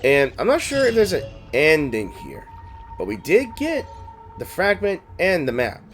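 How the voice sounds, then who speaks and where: A man's voice: fairly high pitch, wildly swooping pitch, measured pace. A man giving casual, playful game playthrough commentary, thinking aloud and reacting, with game music behind. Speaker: gaming streamer.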